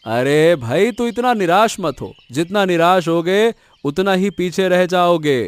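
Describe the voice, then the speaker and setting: Man acting a high-voiced, emotional village elder brother. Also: animated, a little slow, clear, resonant tone, dry recording. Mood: friendly, encouraging. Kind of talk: cartoon story voice acting.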